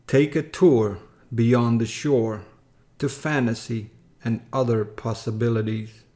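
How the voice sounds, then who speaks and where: A man narrates a rhyming tale at a slow pace. His delivery is solemn and measured, with a mid-range pitch. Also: steady tone, mellow. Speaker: story reader.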